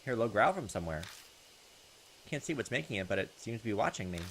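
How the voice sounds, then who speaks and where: A man hosting a gaming video, reading visual novel text aloud and adding jokey, expressive, sometimes profane commentary. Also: lowish voice, fast talker, a little hiss or hum, low-quality recording. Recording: loud game music behind the voice. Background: game soundtrack.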